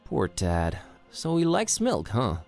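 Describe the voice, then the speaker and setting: A sardonic out-of-town hero in a cartoon adventure game. He talks offhandedly in a middling pitch with big expressive swings, with light music underneath. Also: slow, vocal fry, exaggerated voice. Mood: friendly, awkward. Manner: wry, offhand. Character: wry, casual, sarcastic out-of-town adult male sleuth hero. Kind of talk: animated adventure game acting.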